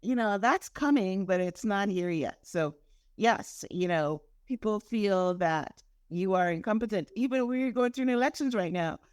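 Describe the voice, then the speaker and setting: A woman from Guyana hosting a podcast interview, chatty and animated, laughing easily. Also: mid-range voice, normal speed, smooth, no room sound, studio quality. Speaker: podcast host.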